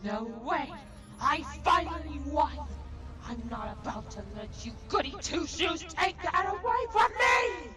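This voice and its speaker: A woman's voice: high-pitched, expressive, a little slow, music in the background. A woman voicing a female magical pony in a cartoon fandub, theatrical and emotive. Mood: indignant. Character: high-voiced dramatic female magical cartoon ponies.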